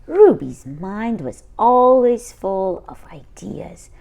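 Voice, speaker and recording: A woman's voice: mid-range voice, gravelly, phone-quality sound. A woman reading a children's picture book aloud, adding her own little asides to the listener. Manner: slow and lively, pausing to add asides.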